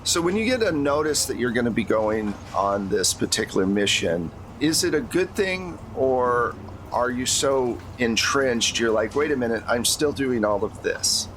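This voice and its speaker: A man's voice: medium pitch, expressive, moderate pace, fairly bright tone, slight background noise. An American man acting as game master, talking casually and conversationally as he narrates a tabletop role-playing game. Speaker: game master of an actual-play podcast.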